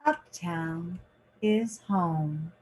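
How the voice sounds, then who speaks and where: A woman reading a children's picture book aloud in short, deliberate phrases, like a teacher. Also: fairly low pitch, a little mellow, slight background noise, rough recording.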